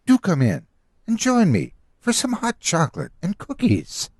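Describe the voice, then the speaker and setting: An actor playing a jolly, friendly village man in an audio storybook, hearty and theatrical, with a husky voice. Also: sits high, wildly swooping pitch, a little slow, no echo, studio quality, full character voice. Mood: jolly, welcoming. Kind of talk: audio storybook character acting. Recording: music behind.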